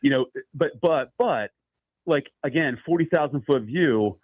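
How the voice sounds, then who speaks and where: A man's voice: mid-range voice, unhurried, dry recording. A man calling in to a sports radio show and talking through his argument with frequent fillers. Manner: conversational, many fillers, steady argument. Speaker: caller. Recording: tinny phone line.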